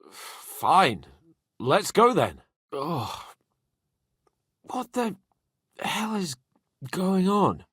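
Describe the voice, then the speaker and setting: An actor playing a haughty, hot-headed young nobleman in a video game, pompous and sharp-tongued. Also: high-pitched, slightly rough, no echo, studio quality, full character voice. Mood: irritated.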